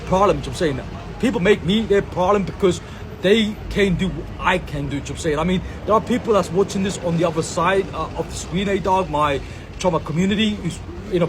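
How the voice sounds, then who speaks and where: A man from Sri Lanka recording a rambling outdoor monologue as a content creator, full of slang, fillers and repeated catchphrases. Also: high-pitched. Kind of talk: rambling self-filmed monologue. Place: outdoors in a public space.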